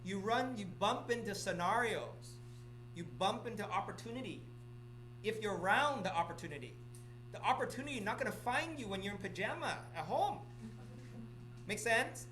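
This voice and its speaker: A man's voice: high-pitched, animated, moderate pace, bright, forceful tone, a little hiss or hum, poor audio. Middle-aged man coaxing staff, "okay?". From Vietnam. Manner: repetitive, coaxing, checks in with "okay?" often. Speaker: real estate office manager or broker. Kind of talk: office meeting announcements. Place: office meeting room.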